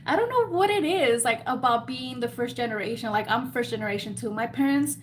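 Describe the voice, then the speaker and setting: Woman host, slight Mexican Spanish accent, higher pitch, loose self-deprecating chat, faint hiss. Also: animated, brisk. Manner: chatty, laughs often, self-deprecating. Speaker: beauty business host. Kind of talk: live Q&A chat.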